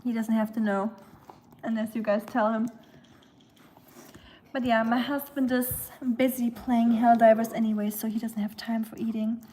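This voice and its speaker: A woman's voice: fairly high pitch, little pitch movement, normal speed, mellow, a little hiss or hum. Chatty German-accented woman with a light voice. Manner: chatty and giggly, coos at her dog. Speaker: livestreamer. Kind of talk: casual livestream chat with viewers. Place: home kitchen.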